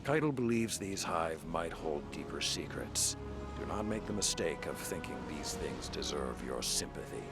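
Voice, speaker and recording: An actor playing a grizzled, stern, world-weary veteran warlord in a video game cutscene, with a gruff and weighty delivery. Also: male voice, animated, a little slow, over loud music. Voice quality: deep gravelly growl.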